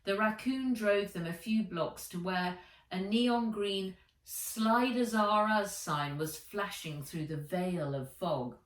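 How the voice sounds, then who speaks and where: A woman author reading her children's book aloud as a storyteller, in measured, expressive narration. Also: mid-range voice. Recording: roomy echo, distant microphone.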